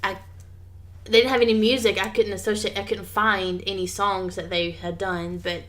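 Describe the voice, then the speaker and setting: A woman's voice: medium pitch, level tone, moderate pace, fairly bright tone. A woman from the American South with a light Southern accent, co-hosting a podcast in casual chat with teasing, dry jokes. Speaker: podcast co-host.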